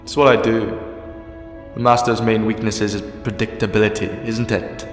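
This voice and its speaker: A man's voice: fairly low pitch, level tone, normal speed, natural voice. A man playing a defiant, imprisoned time-travelling alien hero in a fan audio drama, wry and resolute. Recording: echoey, long reverb, music underneath.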